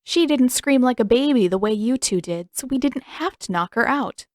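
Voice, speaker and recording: A woman's voice: on the high side, animated, moderate pace, darkish tone, no room sound, pitched above the performer's own voice, close to an everyday voice. A stern young woman who leads warriors in a fantasy audiobook, voiced by a woman reader. She speaks firmly, in clipped, authoritative phrases, recorded dry and close. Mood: cool, stern. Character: Suki, stern, commanding young female warrior leader. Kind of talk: audiobook character dialogue.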